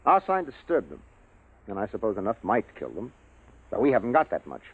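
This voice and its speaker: A man's voice: on the low side, huge pitch swings, a little fast, husky, heavily acted. An actor playing an analytical male science officer in a 1950s radio drama, explaining things matter-of-factly. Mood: grave, explanatory. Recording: dry, tinny vintage radio recording.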